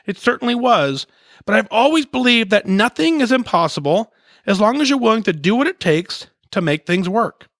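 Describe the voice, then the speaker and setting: A man hosting a podcast, reading a listener's letter aloud in an earnest, measured way, letting sentence endings fall softly. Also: high-pitched, no echo, studio quality. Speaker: podcast host.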